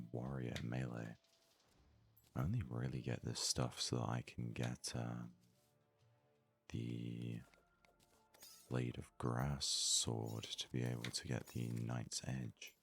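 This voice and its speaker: A man with an extremely low voice, heavy with gravelly fry, murmurs casual ASMR game commentary. He speaks softly and close to the microphone, with faint music playing behind him. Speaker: gaming video host.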